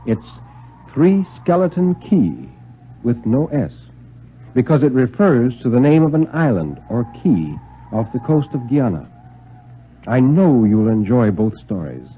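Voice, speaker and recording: A man giving a theatrical, deliberate dramatic story reading with precise diction, in 1950s radio style. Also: medium pitch, big pitch swings, slight background noise. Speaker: dramatic reader on a radio show. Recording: muffled vintage radio recording, music.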